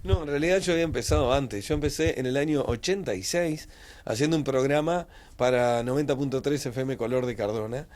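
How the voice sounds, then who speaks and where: A man's voice: mid-range voice, fairly quick, darkish tone. A man, a radio host answering interview questions in a small studio, fluent and matter-of-fact. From Uruguay. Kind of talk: interview answers, recounting career.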